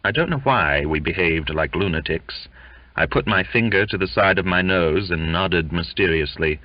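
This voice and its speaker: A man with a deep voice reads literary fiction as an audiobook narrator. His storytelling is measured and expressive. The sound is very dry, with a dull, lo-fi finish. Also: dry recording.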